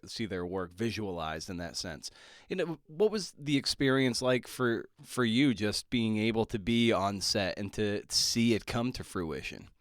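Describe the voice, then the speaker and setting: A man hosting an interview podcast, asking questions in a conversational, halting way and rephrasing as he goes. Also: fairly low pitch, no room sound, clean studio sound.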